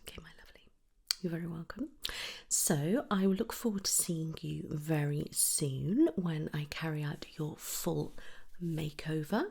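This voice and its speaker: Hushed, creaky woman whispering ASMR. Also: sits low. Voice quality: soft whispering and hushed murmuring. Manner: gentle, reassuring, unhurried client consultation. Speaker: ASMR roleplayer as make-up artist. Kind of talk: ASMR personal-attention roleplay.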